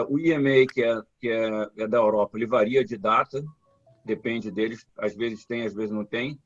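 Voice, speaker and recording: Halting man hosting a call. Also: medium pitch, dry recording. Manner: halting, many repeated words and "tá?" checks. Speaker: community event host. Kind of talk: live online meetup talk. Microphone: video call. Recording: dull, dry call audio.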